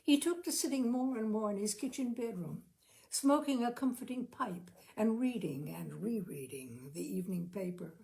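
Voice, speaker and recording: A woman narrating a literary short story expressively, with measured, careful phrasing. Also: fairly high pitch, lively intonation, creaky, mellow. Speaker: audiobook reader, storyteller.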